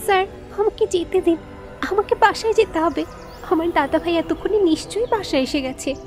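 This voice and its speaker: A woman acts out a terrified young woman in a cartoon thriller. Her extremely high voice pleads tearfully to be let go. Soft music sits behind her. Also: a little fast. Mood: frightened, pleading. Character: frightened, pleading young woman held against her will. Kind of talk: Bengali animated thriller acting.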